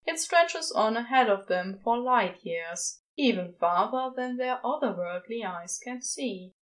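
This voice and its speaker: A woman's voice: a little high, animated, measured pace, poor audio. A woman reading a story aloud as a scripted fiction narrator. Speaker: podfic narrator.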